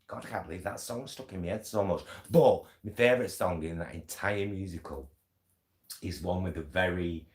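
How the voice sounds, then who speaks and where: British male vlogger, lowish voice, unhurried hesitant rambling chat, echoey indoor sound. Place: indoors at home. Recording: echoey room.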